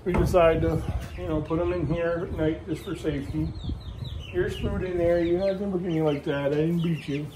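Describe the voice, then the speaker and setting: A middle-aged American man with a notably high voice gives a folksy, unscripted tour of farm chores. He chats casually into a handheld phone, with noticeable outdoor noise and clucking poultry around him. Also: steady tone, a little fast. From Michigan, United States. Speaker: farm vlogger. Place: open barn and farmyard. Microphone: handheld phone camera. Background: clucking chickens, birds, outdoor ambience.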